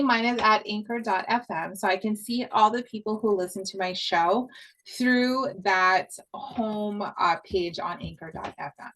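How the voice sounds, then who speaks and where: A woman's voice: mid-range voice, fairly bright tone, poor audio. A woman from Hawaii giving a casual online course on podcast sales, chatty and upbeat, repeating her points and swearing casually. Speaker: podcast coach.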